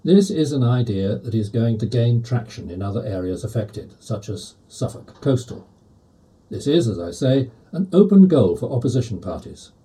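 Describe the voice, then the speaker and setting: Man reading aloud with a dark, lively voice. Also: on the low side, a little hiss or hum. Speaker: volunteer article reader. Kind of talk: reading an opinion article aloud.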